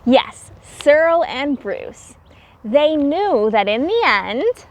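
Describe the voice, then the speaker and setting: Female storybook reader, high voice, very unhurried; theatrical, emphatic teacher speaking simply to young children. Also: very animated, highs cut off. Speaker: teacher reading a picture book aloud to children. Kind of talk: expressive children's storybook read-aloud.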